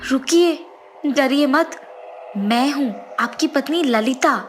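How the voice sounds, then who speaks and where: High-pitched, earnest ghost wife voiced by a woman. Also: slow, music in the background. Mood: pleading, remorseful. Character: remorseful ghost of a greedy dead wife, anxious, pleading.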